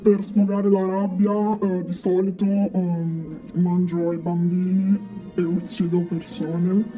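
A young-workshop performer playing a self-important, stressed city ogre businessman in a mock radio interview, speaking haltingly and matter-of-factly. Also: mid-range voice, little pitch movement, warm tone, natural voice. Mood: curt, matter-of-fact. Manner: hesitant, matter-of-fact, halting. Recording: dull, lo-fi, music behind.

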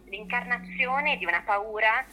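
A woman's voice: fairly high pitch, unhurried, bright, forceful tone, a little hiss or hum, low-quality recording. A woman giving reflective, explanatory interview answers, repeating phrases as she works through her thought. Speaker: theatre artist being interviewed.

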